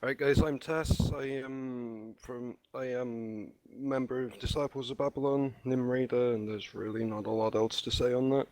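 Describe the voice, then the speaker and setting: A man's voice: medium pitch, steady tone, moderate pace, hardly any pauses, darkish tone, no room sound, rough recording. Flustered man on a dry mic. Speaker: guest gamer. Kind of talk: casual voice chat.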